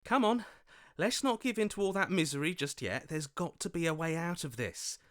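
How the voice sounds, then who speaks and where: A man's voice: on the high side, lively intonation, normal speed, a bit bright. A man playing an earnest, hopeful hero's inner voice in a video game, questioning and pleading as he talks.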